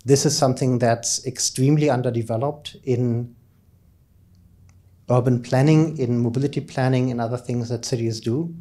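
Male academic keynote speaker, middle-aged, mid-pitched and soft-edged mellow voice, informal explanatory delivery on a handheld mic. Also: fairly even intonation, normal speed. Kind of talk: conference keynote talk. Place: conference lecture room, indoors, at a lectern. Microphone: handheld microphone.

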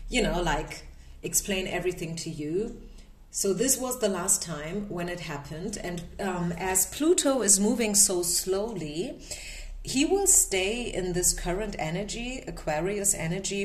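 A woman with a German accent giving a casual tarot and energy reading, often checking in with listeners by asking "okay?". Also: fairly low pitch. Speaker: tarot reader. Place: small room at a table with candles.

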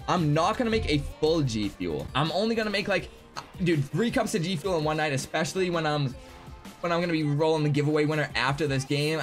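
A young man in his twenties live-streaming a game from his home setup, chatting excitably with viewers, swearing freely and going hoarse. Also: fairly high pitch. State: losing his voice. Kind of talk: live gaming stream chat. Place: home gaming room.

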